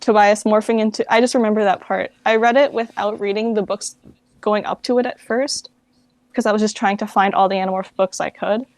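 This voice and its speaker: A woman's voice: medium pitch, steady tone, a little fast, darkish tone, no room sound. A woman chatting informally about books as an interview guest, often saying "like" as she goes. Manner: informal, peppered with "like". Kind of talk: casual chat about books.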